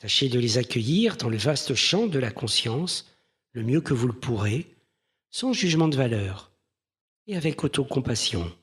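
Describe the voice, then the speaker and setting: A man leading a guided mindfulness meditation in a gentle, measured manner with pauses between phrases. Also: medium pitch, very animated, breathy edge.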